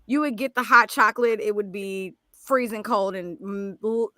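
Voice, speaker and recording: A woman's voice: medium pitch, normal speed, no room sound, studio quality. A woman co-hosting a casual podcast chat, telling stories with animation and lots of fillers. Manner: animated storytelling, many fillers. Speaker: podcast co-host.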